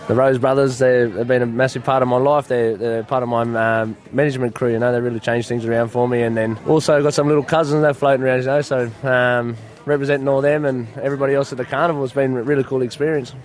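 A man's voice: mid-range voice, quick pace, hardly any pauses. A man from New South Wales, a footy player, chatting casually in a vox pop interview with many fillers. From New South Wales, Australia. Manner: relaxed, friendly, filler-heavy.

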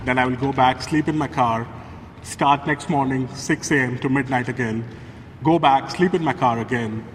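A man's voice: medium pitch, normal speed. A man giving personal testimony as an interviewee. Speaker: interviewee, migrant worker. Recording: noisy, long echoing reverb.

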